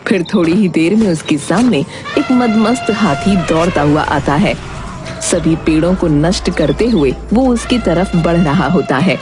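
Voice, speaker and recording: A woman's voice: fairly low pitch, expressive, unhurried. A woman narrating a scripted cartoon story as the storyteller. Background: music.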